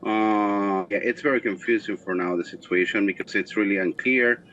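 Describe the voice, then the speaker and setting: A man's voice: on the low side, fairly even intonation, pushed and bright. Venezuelan man on a muffled remote line. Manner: halting, many fillers and repeated words. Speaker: political analyst guest. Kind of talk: live remote news interview. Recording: muffled remote line with faint background noise.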